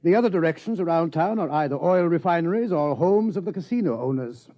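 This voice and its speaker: An actor playing a dignified, fussy senior scientist, formal and pompous, in a 1950s radio drama. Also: male voice, on the high side, lively intonation, quick pace, warm tone, close to an everyday voice. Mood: exasperated. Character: dignified, fussy, exasperated male senior scientist leading observatory. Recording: dull 1950s radio recording.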